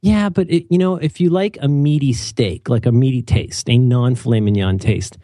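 Man, casual talk host, mellow dark voice, dry close sound, jokey and opinionated. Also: mid-range voice, moderate pace, no echo. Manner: animated, opinionated and jokey, with many restarts. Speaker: podcast host. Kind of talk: casual chat.

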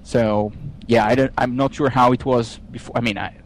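A man in his thirties from Israel with an Israeli accent, a software developer guest speaking casually with many fillers and restarts. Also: mid-range voice, steady tone, a little hiss or hum. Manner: halting, frequent restarts and fillers. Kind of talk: casual podcast interview.

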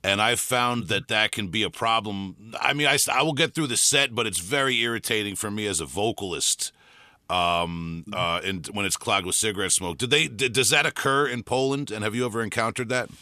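Heldere Amerikaanse podcasthost. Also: male voice, on the low side, fairly quick, bright, forceful tone, dry recording. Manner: loose and friendly, says "man" often. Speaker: podcast host and vocalist. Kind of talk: casual interview.

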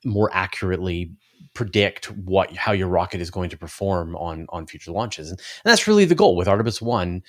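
A man giving explanatory answers as an interview guest on a podcast, halting as he thinks aloud. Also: low-pitched. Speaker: interview guest, space commentator.

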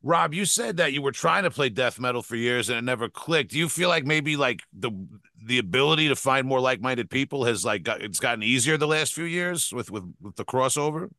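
A man's voice: medium pitch, lively intonation, a little fast, bright, forceful tone, no echo, clean studio sound. A man in his forties hosting a casual interview, asking rambling questions with many restarts. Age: 40s. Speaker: podcast host.

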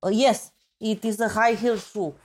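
A woman's voice: fairly high pitch, steady tone, normal speed. Woman, searching for words. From Romania. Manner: searching for words, many uhs. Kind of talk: casual podcast chat.

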